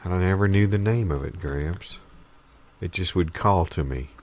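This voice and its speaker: A man playing a polite, earnest grandson who talks in solemn, wordy deadpan in improvised audio comedy. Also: sits low, moderate pace, natural voice. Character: polite, earnest grandson swapping absurd old memories.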